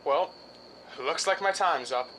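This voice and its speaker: An actor playing a hesitant husband and secret accomplice in a radio drama, speaking in a halting, understated way. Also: male voice, on the high side, bright, forceful tone, a little hiss or hum, rough recording. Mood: guarded, relieved.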